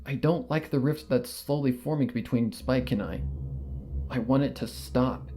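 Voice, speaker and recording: A man narrating a fan fiction story in the first person, in a measured, earnest storytelling manner. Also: mid-range voice, dark tone.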